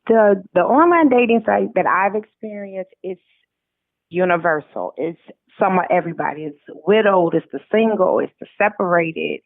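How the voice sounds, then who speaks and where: A woman's voice: medium pitch, expressive, normal speed, no room sound. A middle-aged woman, a guest author, answering interview questions conversationally over a phone-like connection. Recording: thin, narrow phone-like line.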